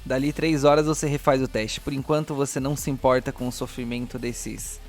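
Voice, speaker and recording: A man's voice: medium pitch, little pitch movement, a little fast, a little mellow, soft background music. Brazilian man narrating as game master. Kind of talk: dramatic tabletop game narration.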